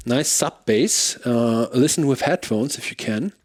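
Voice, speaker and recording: A man's voice: expressive, a little slow. A man giving a casual, off-the-cuff gear demo, thinking aloud in an informal way. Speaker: bass gear demonstrator. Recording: loud bass guitar playing alongside. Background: electric bass through effects pedals.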